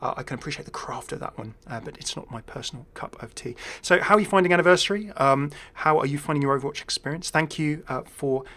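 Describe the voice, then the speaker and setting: A man's voice: a little high, fast, hardly any pauses, pushed and bright. Chatty man doing game commentary. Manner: chatty, enthusiastic, readily amused. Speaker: YouTube gaming creator. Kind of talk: casual game update commentary.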